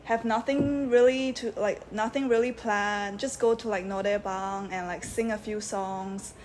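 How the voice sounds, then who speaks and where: A young woman student in a relaxed, casual way, listing her favorite places to visit. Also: mid-range voice, unhurried, a little hiss or hum. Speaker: summer school student. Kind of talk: casual recommendations.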